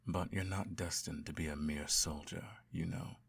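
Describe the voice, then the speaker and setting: A man with an extremely low, gravelly voice, husky and a little breathy. He talks in a calm, measured murmur for a scripted bedtime roleplay and often sinks into a whisper. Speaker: emperor parent in an audio roleplay. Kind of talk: scripted audio roleplay, soft bedtime storytelling.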